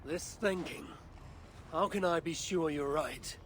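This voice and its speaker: An actor playing an imperious, vengeful Norse god warrior in a video game, speaking in terse, formal, old-fashioned demands. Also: male voice, fairly high pitch, takes it slowly, a bit bright. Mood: commanding. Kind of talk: dramatic video game acting. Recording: clearly noisy game cutscene audio.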